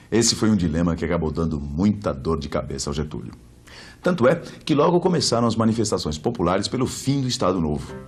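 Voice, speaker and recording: A man with a Brazilian accent presents a scripted educational explanation in a didactic way, posing rhetorical questions. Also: sits low. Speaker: presenter of an educational history program.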